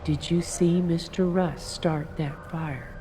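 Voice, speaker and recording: An actress playing a patient female police detective who questions a suspect in a calm, coaxing, firm manner in an audio drama. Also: lowish voice, slow pace, noisy background.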